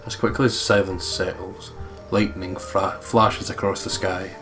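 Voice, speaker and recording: Male let's play co-host, lowish voice, expressive script reading and casual asides, game music clearly behind. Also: normal speed. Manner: expressive, emotive line reading. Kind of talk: dramatic read-aloud of game script with casual chat. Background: video game music.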